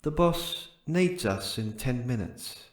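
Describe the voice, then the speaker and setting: A man's voice: medium pitch, expressive, takes it slowly. A man playing a hesitant, informal henchman in a comic audio drama, drawing out his words. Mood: reluctant. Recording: roomy echo.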